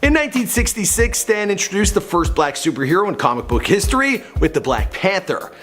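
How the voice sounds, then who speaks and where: A man's voice: high-pitched, big pitch swings, brisk, fairly bright tone. A man delivering a scripted biography narration like a showy storyteller, with conversational asides. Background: background music.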